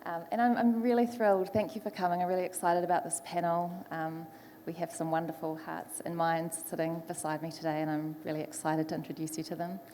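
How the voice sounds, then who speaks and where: Echoey, lower-pitched New Zealand woman moderating. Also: flat delivery, fairly quick, warm tone. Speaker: panel moderator. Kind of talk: spoken introduction at a live panel. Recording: echoey hall, faint background noise.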